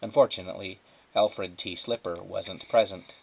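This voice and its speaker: A man's voice: deep voice, fairly even intonation, moderate pace, creaky, husky, rough recording. A man narrating a children's storybook aloud, as a teacher does. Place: indoors at a wooden table.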